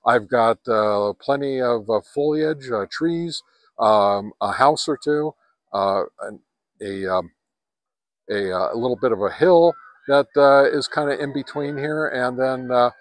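An older man in his sixties walks through a radio test in a loose, rambling way, filling gaps with plenty of ums and uhs. His voice sits at a middle pitch and is picked up close on a handheld camera with a dry, unreverberant sound. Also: measured pace, dry recording. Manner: rambling with many fillers. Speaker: ham radio reviewer. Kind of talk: casual hands-on radio review. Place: home radio shack, then outdoors in a park, then in a truck. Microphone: handheld camera at arm's length.

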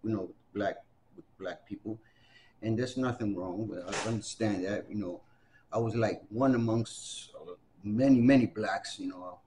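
A man's voice: low voice, background noise. A man around sixty, born in Puerto Rico and raised in the Bronx, telling his story in an oral history interview with many fillers and restarts. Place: library room with bookshelves.

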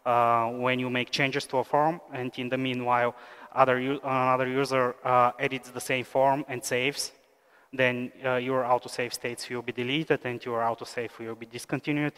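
Bulgarian-born man with a smooth voice in a reverberant hall. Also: mid-range voice, a bit bright. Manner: frequent "uh" fillers, measured explanatory delivery. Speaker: conference speaker, software engineer. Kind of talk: technical conference talk. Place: large conference hall, at a lectern stage.

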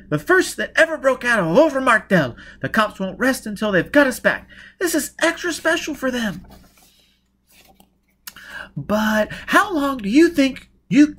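A man voicing a brusque, irritable woman on the run in an audiobook, impatient and forceful. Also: fairly high pitch, husky, pitched above the performer's own voice. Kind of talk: audiobook character voice.

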